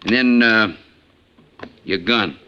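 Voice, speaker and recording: An actor playing a wary, bossy outlaw gang leader in an old radio western, with a folksy, menacingly polite frontier manner. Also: male voice, fairly low pitch, slow. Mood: brisk, businesslike. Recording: dull, noisy 1950s radio recording.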